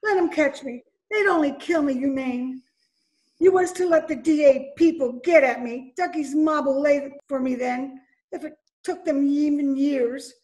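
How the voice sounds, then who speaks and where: A jittery, streetwise gangster's girlfriend, played by a woman in a radio crime play. She speaks high, in slangy, pleading lines, recorded from home over a video call. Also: unhurried, a little mellow, poor audio. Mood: frightened. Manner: breathless pleading, slangy "gee" and "ya". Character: frightened young gangster's moll, pleading and streetwise. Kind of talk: old-time radio play acting.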